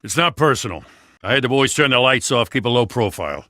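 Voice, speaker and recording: An actor playing a brisk, matter-of-fact police lieutenant in a video game, firm and businesslike. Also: male voice, medium pitch, animated, sharp tone, no echo, clean studio sound. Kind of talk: naturalistic video game acting.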